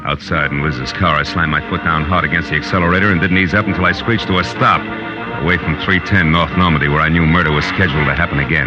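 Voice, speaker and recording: An actor playing a tough, wisecracking American private detective in a radio drama, with a clipped, wry delivery. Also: male voice, extremely low pitch. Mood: hard-boiled narration. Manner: clipped, wry, hard-boiled. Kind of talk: 1940s radio detective drama acting and first-person narration. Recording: muffled old radio broadcast with music.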